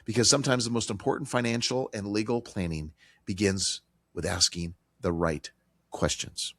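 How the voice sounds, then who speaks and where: Male talk radio host, hoarse with a cold, low voice, dry studio sound, emphatic conversational delivery. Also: fairly even intonation, moderate pace, fairly bright tone, dry recording. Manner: emphatic, repetitive, conversational with fillers. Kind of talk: financial talk radio monologue.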